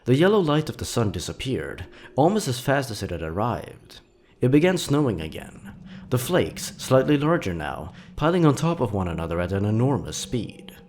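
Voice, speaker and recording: A man reading scripted horror fiction as a dramatic narrator, with measured, deliberate phrasing. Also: medium pitch, big pitch swings, a little mellow, slight background noise.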